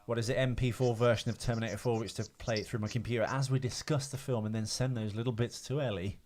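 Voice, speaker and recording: A man's voice: mid-range voice, fairly even intonation, fast, darkish tone, a little hiss or hum. A man co-hosting a casual comedy film podcast, rambling along with crude jokes. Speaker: podcast co-host.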